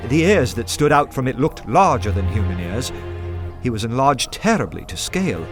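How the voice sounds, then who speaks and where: An actor playing an undercover detective, narrating a radio play in a measured, literate, suspenseful manner. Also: male voice, mid-range voice, sing-song. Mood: mounting dread. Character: undercover male detective narrating his tense adventure. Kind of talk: dramatic first-person narration over music. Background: music.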